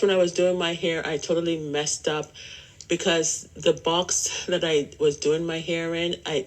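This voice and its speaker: A woman with a slightly low voice hosts a casual solo podcast. She talks at an unhurried, slow pace. Her manner is chatty and rambling, addressing listeners directly. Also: poor audio. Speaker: podcast host.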